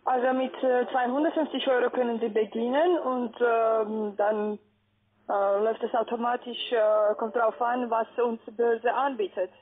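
A woman working as a call-center agent, giving a hesitant sales pitch. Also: fairly even intonation, normal speed. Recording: tinny narrow phone line.

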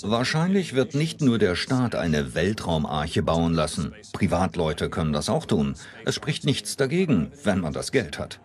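A man gives expert commentary as a documentary voice-over. His voice is fairly low. The intonation moves around somewhat. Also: big pitch swings, unhurried, hardly any pauses.

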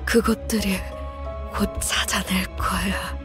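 A woman voicing an eerie, sluggish monster in a video game, with halting, drawn-out phrases. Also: medium pitch, fairly even intonation, music in the background, lightly acted. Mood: eerie, listless. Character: eerie, sluggish monster inviting people to draw lots.

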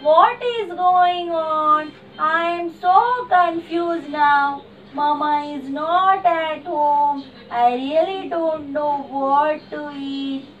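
A woman's voice: sits high, extremely slow, muffled recording. Woman doing a whiny hungry little girl. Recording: distant room mic.

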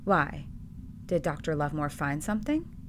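A woman's voice: low-pitched, big pitch swings, moderate pace, slight background noise. A woman playing an anxious, earnest hotel employee in an audio drama, worried and hesitant.

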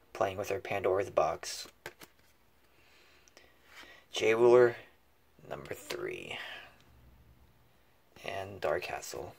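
A man's voice: low-pitched, gravelly, darkish tone, breathy edge. A man giving casual unboxing commentary in short, muttered, fragmentary remarks. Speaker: card pack opening host. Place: indoors at a table covered with cards.

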